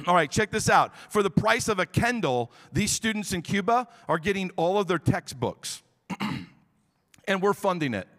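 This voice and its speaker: A man's voice: high-pitched, lively intonation, normal speed, a bit bright, big-room sound. A man speaking as pastor from the pulpit, enthusiastic and rallying the congregation, in a large church auditorium.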